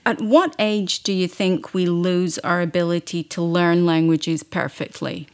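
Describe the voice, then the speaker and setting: A woman's voice: steady tone, measured pace, darkish tone. A woman interviewer reading out numbered questions and answering with short backchannels. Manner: brief backchannels, rises in pitch on each question number.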